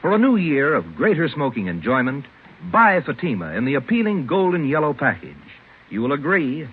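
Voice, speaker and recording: A male American commercial announcer sells with emphasis and animated swings in pitch. His voice is husky and medium in pitch, heard on a tinny vintage radio broadcast. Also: normal speed. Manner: emphatic salesman delivery. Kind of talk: 1950s radio advertising pitch.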